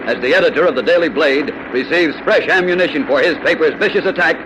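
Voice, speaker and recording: A man's voice: on the high side, quick pace, heavy background noise. A man narrating a 1940s radio serial as announcer, in a dramatic, declamatory style. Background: music.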